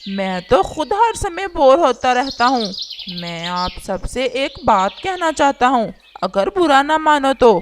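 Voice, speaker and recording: A woman's voice: high-pitched, very animated, unhurried, slight background noise. A woman voicing a whiny, scheming, lovesick male crow in a children's cartoon, with a pleading, complaining manner. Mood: sheepish.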